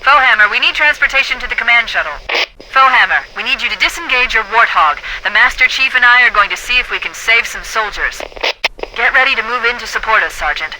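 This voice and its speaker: A female AI companion from a military video game. Her voice is high and very bright, with a crisp, authoritative edge. She delivers tactical orders with poise and confidence, over faint background noise. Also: expressive, brisk, poor audio. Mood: brisk, commanding. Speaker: tactical AI giving orders and briefings. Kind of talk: video game voice acting, military radio dispatches.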